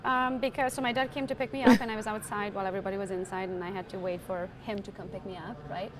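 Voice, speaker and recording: A woman's voice: mid-range voice, fairly even intonation, fast talker, crowd noise, poor audio. A woman in her forties from Transylvania, Romania, a podcast guest telling stories in a chatty way and often tagging on "right?". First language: Hungarian. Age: 40s. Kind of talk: casual interview chat. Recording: loud background noise.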